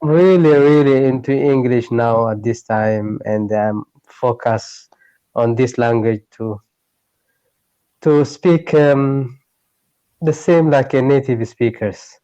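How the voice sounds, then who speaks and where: Man, learner of English, slow unhurried delivery, halting and searching for words, dry close sound. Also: mid-range voice, fairly even intonation, warm tone, clear, resonant tone, dry recording, lo-fi sound. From Eritrea. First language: Tigray. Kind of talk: online tutoring conversation. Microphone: video call.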